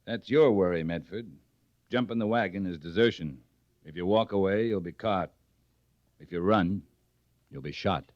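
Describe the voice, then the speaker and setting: An actor playing a stern, unflappable cavalry captain in an old radio western, terse and authoritative. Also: male voice, low-pitched, normal speed, long pauses, close to an everyday voice. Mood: stern, flat. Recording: dull vintage radio recording.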